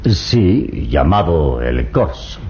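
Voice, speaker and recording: An actor playing a calm, resolute adventure hero in a vintage radio drama. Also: male voice, rumbling low, extremely slow, exaggerated voice. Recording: dull lo-fi old radio recording.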